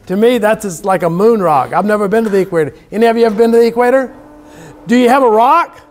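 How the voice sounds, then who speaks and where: A high-voiced man with a Southern US accent talks to his students like a folksy lecturer. He teases and jokes informally, throwing in exclamations as he goes. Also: fast. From South Louisiana, USA. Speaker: university lecturer. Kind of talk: informal classroom lecture banter. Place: university classroom.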